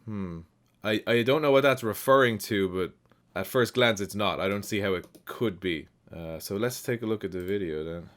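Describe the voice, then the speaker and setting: An Irish man with a lowish voice hosts a casual comment-response video. He talks in a reflective, explanatory manner, reading a remark and then giving his own answer. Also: moderate pace. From Ireland. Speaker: language channel host.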